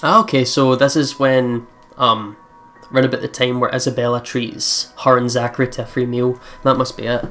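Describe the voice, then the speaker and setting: Casting: informal, chatty game commentator with a middle-pitched voice, swears freely, reads text aloud, soft music behind. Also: little pitch movement, moderate pace. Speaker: let's play host. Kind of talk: casual game commentary mixed with reading aloud.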